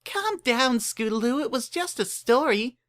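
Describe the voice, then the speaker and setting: A man's voice: extremely high pitch, big pitch swings, unhurried, a bit bright, pitched above the performer's own voice, exaggerated voice. A man voicing a sweet, cheeky young filly, a chirpy little sister in a story. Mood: reassuring.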